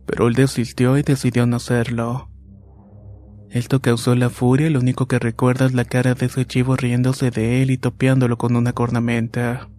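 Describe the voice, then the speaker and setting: Flat, dark-voiced male horror narrator. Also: mid-range voice. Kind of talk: scripted horror story narration.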